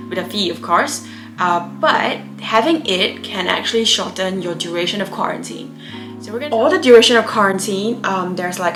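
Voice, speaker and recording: A young woman from Malaysia, giving chatty, explanatory advice in a casual vlog, often saying "um" and "you know.". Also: mid-range voice. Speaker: vlogger. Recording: echoey room with music. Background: background music.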